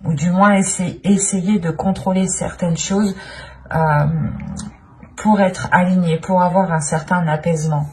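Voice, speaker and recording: A woman's voice: fairly low pitch, a little mellow. A woman giving an improvised tarot reading as a channel host, speaking in a searching way and often repeating her phrases.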